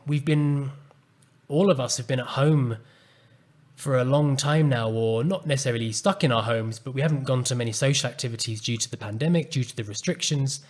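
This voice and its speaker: A man hosting a solo podcast, speaking calmly and earnestly as he reflects on a personal story. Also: mid-range voice, brisk. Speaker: podcast host and coach.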